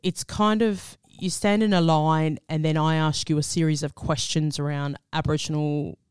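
A woman's voice: low voice, fairly even intonation, moderate pace, darkish tone, clean tone, no echo. An Australian woman co-hosting a community radio show, chatting casually, laughing often and using local slang, in a studio.

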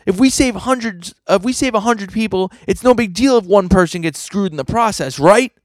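A man voicing an excitable, nervous, self-justifying young swordsman from a manga. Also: high voice, moderate pace, no echo, pitched above the performer's own voice, close to an everyday voice. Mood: defensive, agitated. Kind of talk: manga dialogue read aloud.

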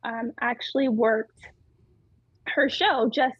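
A woman with a tiny, slightly high voice and a breathy, husky edge, talking as a guest in a casual interview. She giggles often and hedges with fillers. The sound is dry and a little dull, close on a podcast mic. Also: steady tone, slow pace, no echo. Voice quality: small, tiny voice. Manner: giggly, hesitant with many fillers. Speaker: muralist guest.

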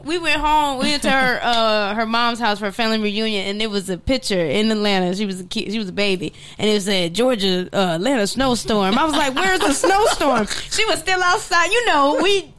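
A woman from Cleveland, a guest on a podcast, telling funny stories in an animated, joking way. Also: a little high, a little fast, few pauses. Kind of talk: casual podcast chat.

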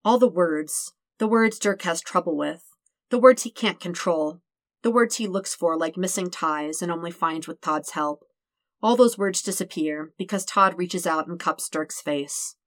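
A woman's voice: on the low side, measured pace, no room sound. A woman reading a fictional story aloud as a scripted narration. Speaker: podfic reader.

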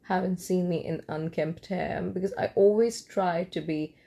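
A woman's voice: lowish voice, fairly even intonation, normal speed, gravelly, dark tone. A young woman from Kolkata with a cold, talking in a loose late-night podcast monologue with many ums and restarts. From India, Kolkata. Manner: rambling with frequent ums and restarts, light laughs. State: has a cold. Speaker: podcast host.

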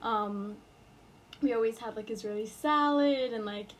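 A woman's voice: a little high, measured pace, slight background noise. A young woman in college from New York, answering interview questions casually with lots of fillers and restarts. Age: college student. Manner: hesitant, many fillers and restarts.

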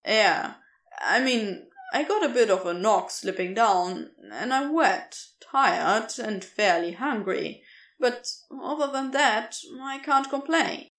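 A woman's voice: on the high side, lively intonation, slow, a bit bright, echoey room, heavily acted. A woman voicing a scrawny, worn older male thief with a wry, casual manner, in a storybook reading. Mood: wry, weary. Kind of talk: audiobook character voice in fantasy romance.

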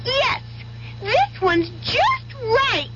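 An actress playing a perky storybook taster with chirpy, singsong patter in a 1970s radio commercial. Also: female voice, very high voice, sing-song, extremely slow, exaggerated voice. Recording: muffled vintage radio, faint hum.

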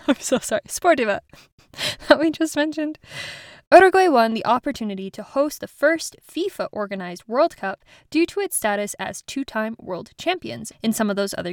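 A woman's voice: fairly high pitch, no echo, clean studio sound. A woman hosting an educational podcast in a casual, chatty way, laughing at her own slips. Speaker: podcast host.

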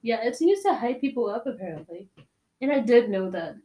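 A fairly high-voiced woman in her twenties chats casually as a podcast co-host. She giggles easily and packs her talk with slang and filler words. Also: very animated, a little fast, dark tone. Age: 20s. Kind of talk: casual chat.

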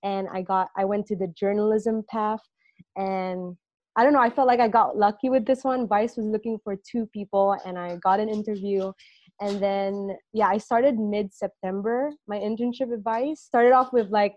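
A young woman from Singapore, a student intern journalist, chatting casually in an interview, full of "like" and trailing off softly at the ends of phrases. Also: medium pitch, fairly even intonation, moderate pace, muffled recording. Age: young adult.

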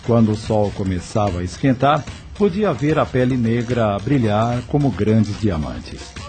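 A man's voice: on the low side, big pitch swings, measured pace, mellow. A man narrating a scripted radio drama in a dramatic, literary storytelling manner. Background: background music.

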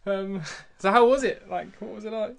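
Brief: young British man, higher voice, jokey friendly interviewer. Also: normal speed, gravelly. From Britain. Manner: playful, laughs easily. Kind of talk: casual chat.

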